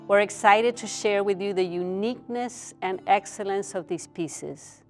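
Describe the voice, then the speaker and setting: A woman reading an earnest, measured scripted introduction as a theater presenter. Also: fairly low pitch.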